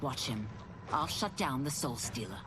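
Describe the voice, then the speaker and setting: A woman's voice: extremely low pitch, very animated, moderate pace, noisy background. A woman voicing a stern, resolute swordswoman with a terse, determined manner in a video game. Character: stern, resolute female demon-hunting swordswoman. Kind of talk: video game cutscene acting. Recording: loud music behind the voice.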